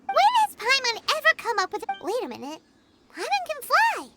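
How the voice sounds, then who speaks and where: Curious little fairy, very squeaky, lively swoops, video game voice, childlike. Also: female voice, moderate pace, exaggerated voice. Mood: curious, chirpy. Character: tiny flying fairy companion, childlike, chirpy and curious. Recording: game music behind the voice.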